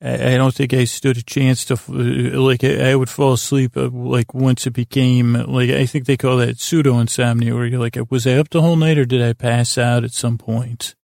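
Very creaky man rambling haltingly. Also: medium pitch, flat delivery, a little mellow, dry recording, clean studio sound. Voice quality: gravelly, creaky. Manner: meandering, halting, stammering, self-deprecating. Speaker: podcast host. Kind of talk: rambling bedtime monologue.

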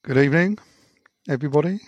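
A man's voice: fairly high pitch, fast talker, dry recording, highs cut off. A man co-hosting a podcast, giving an easygoing, rambling review of a match. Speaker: podcast co-host. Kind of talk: casual football chat.

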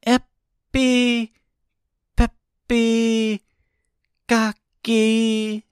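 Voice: mid-range voice, very animated, a little slow, no echo, clean studio sound, voice pushed up. A storyteller voicing an earnest, curious and anxious young girl in a children's storybook. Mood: careful chanting. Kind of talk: storybook character voice.

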